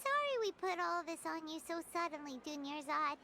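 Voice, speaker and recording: A woman voicing a tiny, chirpy, floating female companion creature in a game, with a squeaky, excitable, sing-song manner. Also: moderate pace, background noise, exaggerated voice. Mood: curious. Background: game music.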